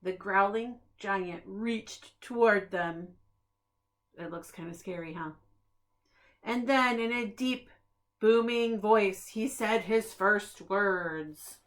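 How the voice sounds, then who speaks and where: Slow, expressive woman reading a storybook. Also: medium pitch. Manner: expressive, deliberate storytelling with asides to young listeners. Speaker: preschool staff reader. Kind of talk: read-aloud of a children's picture book.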